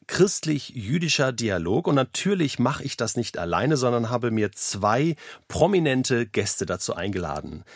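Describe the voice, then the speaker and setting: A man hosts an interview podcast. His voice sits at an average male pitch, and the studio recording is close and dry. He presents guests and puts questions to them. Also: no echo. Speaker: podcast host.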